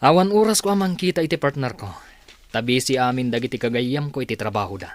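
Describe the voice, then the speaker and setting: A man's voice: mid-range voice, expressive, quick pace, lightly acted. An actor playing a rich, demanding young male boss in an Ilocano radio comedy-drama. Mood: impatient.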